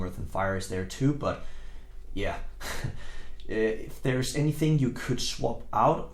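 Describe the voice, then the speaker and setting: A young man from Denmark with a Danish accent, casually talking through a game guide, relaxed and rambling with frequent fillers. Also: medium pitch. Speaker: gaming guide creator.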